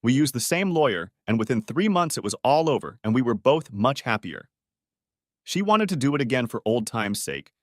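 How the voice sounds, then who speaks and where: A man narrates a scripted story in the first person. His mid-pitched voice swings widely in intonation. The sound is dry, with no room around it. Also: a bit bright, dry recording.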